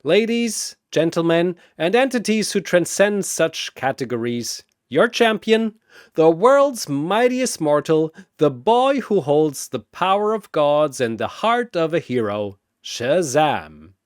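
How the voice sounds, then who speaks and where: A man's voice: fairly high pitch, very animated, slow pace, smooth, no echo, studio quality, pitched above the performer's own voice. A man voicing a grandiose cosmic arena master, an amused showman with a ceremonial announcer's manner, in a fantasy story. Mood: triumphant.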